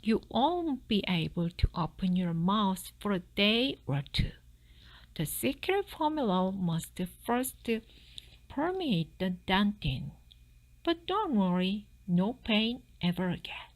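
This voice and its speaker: A woman voicing a serious male dentist in a storybook, crafty and polite, with a firm, formal, measured manner. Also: medium pitch, very animated, low-quality recording. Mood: calmly polite.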